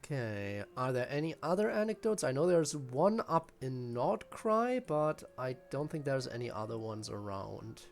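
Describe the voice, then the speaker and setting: A male streamer with a medium-pitched voice gives casual gameplay commentary. He rambles bluntly and grumbles, with faint music behind him. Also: very animated, moderate pace, hardly any pauses. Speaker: game streamer.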